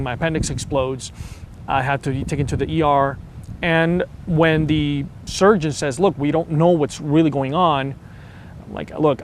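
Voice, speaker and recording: A man's voice: on the high side, noisy background. A man in his thirties from Venezuela telling his own story with many ums and restarts. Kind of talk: personal testimony.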